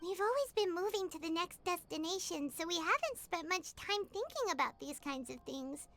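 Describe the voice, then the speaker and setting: A woman voicing a tiny, cute, curious female fairy companion in a video game, squeaky and childlike. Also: lively intonation, moderate pace, a bit bright, soft background music, full character voice. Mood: musing. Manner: cutesy, childlike.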